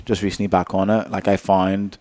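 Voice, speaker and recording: A man with a lowish voice talks in a relaxed, chatty way on a podcast. He jokes with his co-hosts and lets his sentences drift off quietly. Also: a little fast. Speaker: podcast host. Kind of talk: casual chat.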